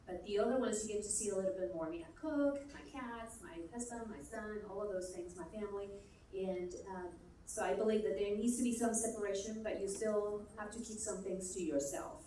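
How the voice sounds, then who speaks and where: A woman's voice: medium pitch, fairly quick, mellow. A woman from Colombia speaks on a business panel, thinking aloud and restarting her sentences as she goes. Her voice carries through a big, echoing hall, picked up from some distance, with a faint hum of room noise behind. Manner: thoughtful, hesitant restarts. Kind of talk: panel discussion answer. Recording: echoey hall, mic far off.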